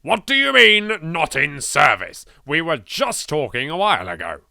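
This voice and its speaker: Horror game heroine played by a man: anxious young woman, theatrical, bright forceful tone, very dry close recording. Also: on the high side, big pitch swings, moderate pace, gravelly, dry recording, pitched above the performer's own voice, heavily acted. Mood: calling out, scared. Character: frightened young woman exploring a haunted mansion, uneasy, jittery. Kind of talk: dramatic reading of horror visual novel text.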